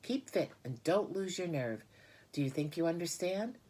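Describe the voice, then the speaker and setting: Woman as a calm, firm motherly spider. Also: on the low side, normal speed, breathy edge, voice pushed up. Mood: gently firm. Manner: firm, reassuring, instructing.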